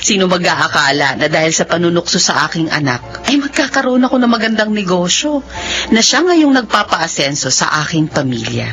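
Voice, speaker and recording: A woman's voice: fairly low pitch, big pitch swings, brisk, fairly bright tone, soft background music. A woman playing a grateful working mother in a radio drama. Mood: reflective. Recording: dull radio broadcast sound.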